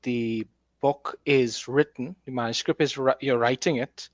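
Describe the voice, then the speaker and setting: A man's voice: mid-range voice, steady tone, unhurried, dry recording. A man hosting a casual conversation as an author, explaining things with enthusiasm. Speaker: host, author. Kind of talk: casual conversational talk about writing.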